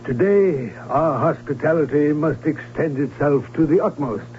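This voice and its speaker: A man's voice: fairly high pitch, measured pace, natural voice. A formal, fussy baron and father played by a man, earnest and dutiful, in vintage radio drama style. The recording is dull, with a faint hum. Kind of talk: old-time radio drama acting.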